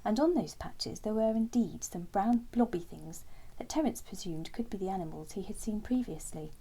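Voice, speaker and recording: A woman reading a children's bedtime story aloud in a gentle, expressive storytelling way. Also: mid-range voice, moderate pace, husky, low-quality recording. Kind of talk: scripted children's story narration.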